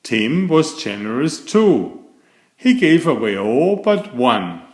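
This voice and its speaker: A man narrates a children's picture book at an unhurried, measured pace. His pitch rises and falls in lively swings as he reads expressively for young listeners. The room adds a noticeable echo around his voice. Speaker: storyteller, children's author and channel host. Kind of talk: read-aloud children's bedtime story narration.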